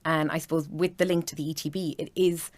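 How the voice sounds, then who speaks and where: A woman's voice: sits low, steady tone, fairly quick. A woman in her thirties with an Irish accent, chatting enthusiastically as a parent about her children's school. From Ireland. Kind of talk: casual chat.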